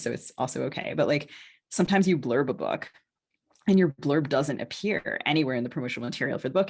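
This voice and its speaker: A woman in a casual podcast conversation, speaking as a novelist and co-host in a hesitant, circling way with many qualifications. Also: extremely low pitch.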